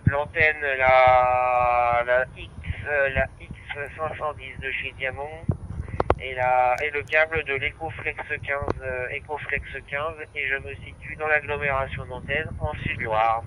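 A man's voice: mid-range voice, steady tone, slow pace. A man giving informal signal reports, heard through a two-way radio speaker. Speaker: amateur radio operators replying. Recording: noisy walkie-talkie audio picked up outdoors.

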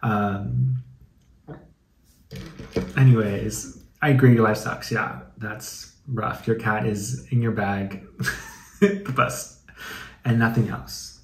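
A man's voice: on the low side, steady tone, slow pace, pauses often. A young American man hosting a comedic video, reading aloud and commenting in a chatty, slangy, playfully dramatic way. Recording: echoey room with some background noise.

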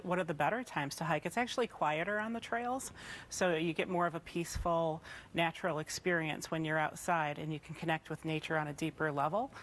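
A woman's voice: lowish voice, a little hiss or hum. A woman guest in a TV studio talking enthusiastically about hiking, on a lapel mic. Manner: enthusiastic, upbeat. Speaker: guest, book co-author. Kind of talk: TV studio interview. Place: TV news studio.

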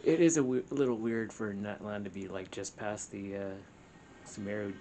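A woman's voice: rumbling low, moderate pace, mellow, slight background noise. A woman chatting casually while playing a video game, muttering in exasperation at it. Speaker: gamer, show co-host. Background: video game music.

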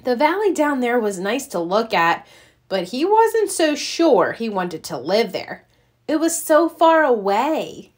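A woman reading a children's storybook aloud as narrator, expressive and deliberate. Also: a little high.

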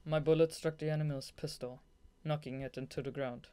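Young narrator with a mid-pitched voice. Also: brisk, clear, resonant tone. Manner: giggly, excitable asides between read passages. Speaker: audiobook reader. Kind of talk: scripted novel narration with blooper asides.

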